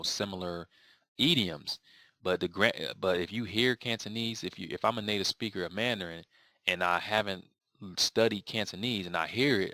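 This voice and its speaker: Deep, bright-toned man giving casual advice. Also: no room sound. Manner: rambling, with many fillers and restarts. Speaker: language learning vlogger. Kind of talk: casual spoken video answer.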